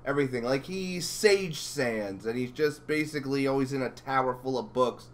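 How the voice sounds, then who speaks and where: A man's voice: medium pitch, normal speed. A man hosting a casual interview, talking in a rambling, enthusiastic fan's way. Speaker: interviewer and channel host.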